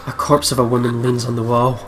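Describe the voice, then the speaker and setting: A man's voice: medium pitch, little pitch movement, fast, noisy background. A man hosting a let's play, reading visual novel narration aloud in a dramatic storytelling manner.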